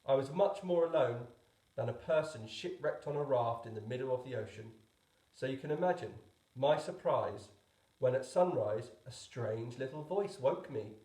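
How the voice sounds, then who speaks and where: A man narrating a storybook as a teacher would, expressively, with deliberate pauses. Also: medium pitch, expressive, warm tone, roomy sound, poor audio. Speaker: teacher reading a book aloud.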